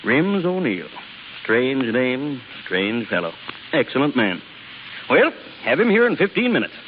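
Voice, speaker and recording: An actor playing a brisk, businesslike company president in 1930s radio drama style. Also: male voice, medium pitch, big pitch swings. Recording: reverberant, dull, hissy 1930s radio recording.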